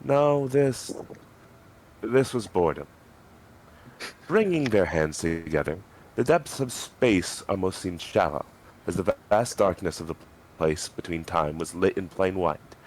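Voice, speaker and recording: A man's voice: low-pitched, animated, unhurried, long pauses, darkish tone, a little hiss or hum, rough recording. A man reading scripted story narration in a dramatic style. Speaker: reader in a group dramatic reading.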